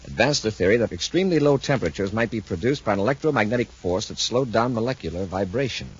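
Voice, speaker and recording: An actor playing a calm, authoritative space patrol commander with a husky voice in a 1950s radio serial. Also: male voice, fairly low pitch, fast. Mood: explaining. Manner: decisive, patiently explanatory. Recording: muffled 1950s radio recording.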